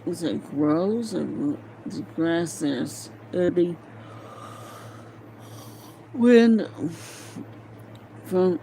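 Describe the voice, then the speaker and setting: A woman reads aloud in a soft-edged, mellow voice at an unhurried pace. She stumbles over words, restarts and drops into casual muttering to herself. The homemade recording carries some faint background noise. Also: on the low side, vocal fry. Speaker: amateur reader of a novel aloud. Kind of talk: informal read-aloud with casual asides.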